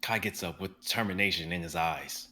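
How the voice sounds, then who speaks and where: A man narrating a live dramatic reading in a steady, plain way. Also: sits low, brisk.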